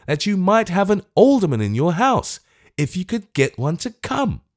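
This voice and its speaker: A man's voice: high voice, sing-song, moderate pace, pitched above the performer's own voice. A man voicing a testy, fussy, self-important Lord Mayor. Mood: testy, fretful. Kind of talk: audiobook character voice.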